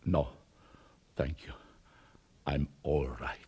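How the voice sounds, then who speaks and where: An actor playing a shabby, proud old man down on his luck in a radio drama, frail and halting. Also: rumbling low, level tone, mellow, husky, full character voice. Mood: wounded pride. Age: older. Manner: halting, pleading, with sudden outbursts.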